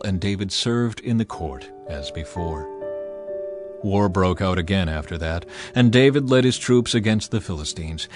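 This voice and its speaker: A man narrating a dramatized scripture reading in a measured, solemn storytelling manner. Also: fairly low pitch.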